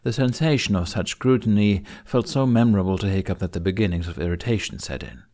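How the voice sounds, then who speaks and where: A man's voice: on the low side, fast talker, a little mellow. A man reading scripted fantasy fiction as a dramatic audiobook narrator.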